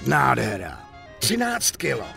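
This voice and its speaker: An actor playing a chatty, hearty middle-aged gun dealer and war veteran in a video game dub, talkative and foul-mouthed. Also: male voice, mid-range voice, huge pitch swings, gravelly, music in the background, full character voice. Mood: boastful, admiring. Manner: talkative, foul-mouthed, showy sales patter. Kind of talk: dubbed video game cutscene acting.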